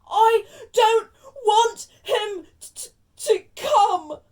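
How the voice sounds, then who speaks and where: A woman voicing a spoilt young boy in a storybook, wailing slowly with pretend sobs and stammers. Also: very high voice, darkish tone, distant mic, pitched above the performer's own voice, exaggerated voice. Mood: fake sobbing.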